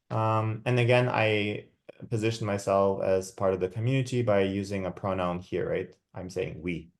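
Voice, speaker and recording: A man's voice: lowish voice, darkish tone. A young man from Kazakhstan, giving a reflective academic guest talk and often checking in with his listeners. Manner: checks in with frequent tag questions; measured, reflective, with many fillers. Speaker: guest lecturer. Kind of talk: academic talk walking through slides.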